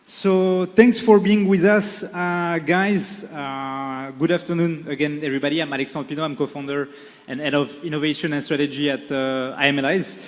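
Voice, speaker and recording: A man opens a conference panel, upbeat and halting, with frequent fillers. His voice sits a little high. It rings out with the long reverb of a large hall. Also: steady tone. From France. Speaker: panel moderator.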